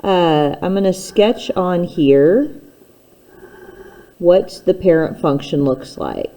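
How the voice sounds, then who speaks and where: Lady math teacher, mellow relaxed voice, takes it slow, explains step by step. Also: fairly low pitch, poor audio.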